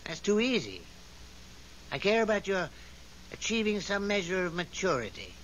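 A long-suffering deity who tends the stars, theatrical and fed up. His voice sits a bit high and swings widely in melody. It comes from a faintly hissy 1970s radio drama recording. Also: sing-song, moderate pace, a bit bright, muffled recording. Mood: scolding. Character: weary, exasperated godlike male overseer of the stars.

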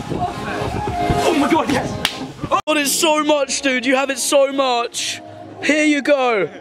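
A young man from England cheering on friends on a backyard trampoline, with short excited yelps and banter. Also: very high voice, slow, loud music behind the voice. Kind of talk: casual banter. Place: backyard, outdoors.

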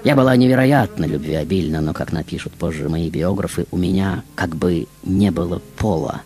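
A man playing a glamorous, vain, seductive female film star in a theatrical radio monologue, coquettish and full of dramatic pauses. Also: sits low, warm tone, close to an everyday voice. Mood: proud, provocative. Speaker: radio show host. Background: music behind the voice.

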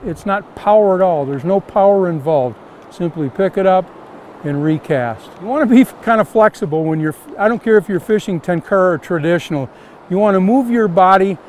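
A man's voice: high-pitched, a little mellow, a little hiss or hum. An older man teaching fly casting outdoors while standing in a river, giving plain, repetitive step-by-step instructions.

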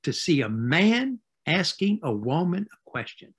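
An older man in his seventies giving reflective, halting remarks with weighty pauses over a video call. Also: fairly high pitch, sing-song, fairly bright tone, husky. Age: 70s. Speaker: retired university president, guest speaker. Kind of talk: reflective remarks in a panel tribute. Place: home study with bookshelves, indoors. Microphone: video call computer microphone.

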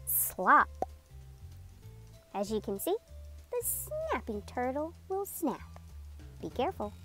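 A woman reading a picture book aloud to children, enunciating each word deliberately and sounding out vocabulary with animated emphasis. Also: sits high. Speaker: read-aloud host for children.

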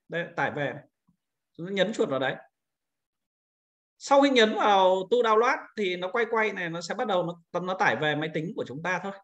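Man giving an informal software tutorial. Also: sits high, dull audio. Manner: informal, repeats pointing words while demonstrating. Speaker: software tutorial instructor addressing teachers. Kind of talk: screen-recorded step-by-step software tutorial.

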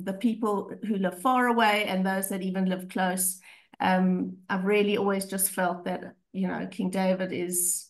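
Croaky South African woman with a cold. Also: mid-range voice. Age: 50s. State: mild cold. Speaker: class reunion participant. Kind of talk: informal personal life update. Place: video call.

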